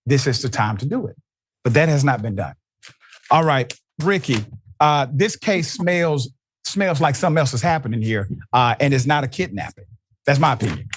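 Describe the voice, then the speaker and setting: A man's voice: mid-range voice, lively intonation, moderate pace, a little hiss or hum. A man hosting a news commentary show, reading reports and then arguing his view with rising emphasis. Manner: emphatic, rising to stress points. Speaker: host.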